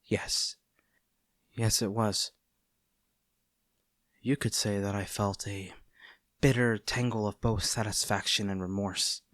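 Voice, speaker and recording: A man performs a smug agent in an audio drama, taunting an old friend turned enemy. The voice is on the low side and very slow, with deliberate theatrical pauses, recorded close and dry. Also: steady tone, fairly bright tone, no echo. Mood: bitter, wistful. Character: smug, taunting male agent, villain's bitter former childhood friend. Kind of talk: dramatic scripted roleplay monologue.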